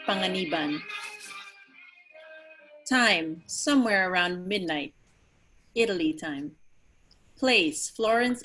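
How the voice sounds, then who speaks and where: A woman's voice: medium pitch, a bit bright, poor audio. A woman reading a play's title and stage directions as scripted narration.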